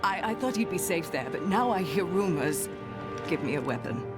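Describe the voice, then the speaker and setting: A desperate game townsman and father. His voice is raised in pitch, worried and pleading, over loud music. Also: animated, normal speed. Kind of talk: video game cutscene acting.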